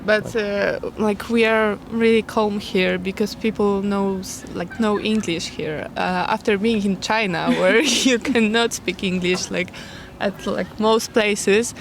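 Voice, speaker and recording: Relaxed Polish-accented female tourist, street interview, light traffic noise. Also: medium pitch, slow, gravelly, hardly any pauses. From Poland. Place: city street outdoors.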